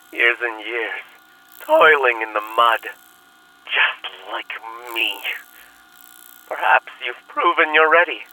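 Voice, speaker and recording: A man playing a hushed, creepy cult leader on tape. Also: mid-range voice, heavily acted. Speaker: cult leader recording a manifesto. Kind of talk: audio drama acting. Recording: distant tape playback.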